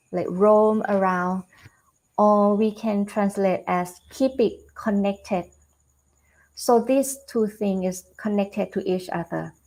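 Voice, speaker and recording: A Buddhist nun with a Thai accent, giving a calm dharma talk over a video call, unhurried with fillers and restarts. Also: female voice, medium pitch, poor audio. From Thailand. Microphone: video call webcam.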